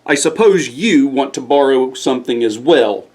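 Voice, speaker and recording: A man's voice: mid-range voice, animated, normal speed, a little mellow, slight background noise, lightly acted. A man voicing a grumpy, unfriendly squirrel in a bedtime story, curt and irritable. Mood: irritated shouting. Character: grumpy, unfriendly male squirrel who wants solitude.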